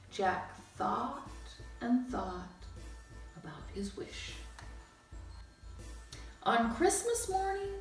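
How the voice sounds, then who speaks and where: A woman reading a children's story aloud in an expressive way, with dramatic pauses. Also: medium pitch. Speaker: storybook reader. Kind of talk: animated children's read-aloud narration. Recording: roomy, distant mic, music bed.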